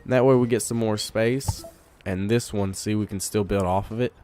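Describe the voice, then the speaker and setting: A man with a congested voice from a cold, giving relaxed let's play commentary, thinking aloud while he plays. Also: lowish voice, normal speed, soft background music. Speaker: gamer.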